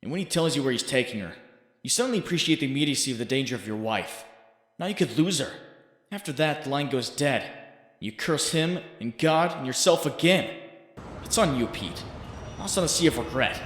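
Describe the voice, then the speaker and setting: A man's voice: mid-range voice, expressive, a little fast, fairly bright tone, natural voice. A man playing a young male superhero in a comic book dub, anguished and frantic, with a brooding, self-mocking monologue that bursts into urgency. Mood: bitter, brooding. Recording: roomy reverberant voice, faint noise.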